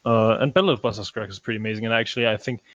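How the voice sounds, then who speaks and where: A man's voice: lowish voice, very fast, a bit bright, no room sound, muffled recording. A man co-hosting a film podcast, chatting casually and giving hedged, understated opinions. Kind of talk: casual chat reviewing films.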